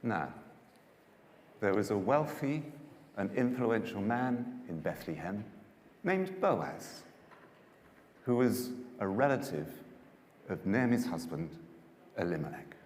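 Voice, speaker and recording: A man narrating scripture as a dramatised story, with a measured and expressive delivery. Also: on the low side. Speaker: narrator, Bible reader. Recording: echoey hall, long reverb, music.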